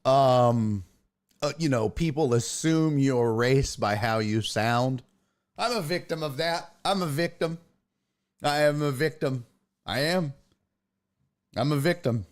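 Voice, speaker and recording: A man's voice: medium pitch, slow, long pauses. A man hosting a casual livestream chat, telling a joking story and reading out messages from chat. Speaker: streamer and sports talk host.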